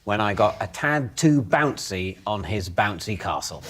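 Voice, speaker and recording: A man giving dry, pedantic comic banter as a panellist, with a loud, noisy studio audience behind him. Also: fairly low pitch, animated, unhurried. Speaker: comedy panellist. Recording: loud studio crowd and noise.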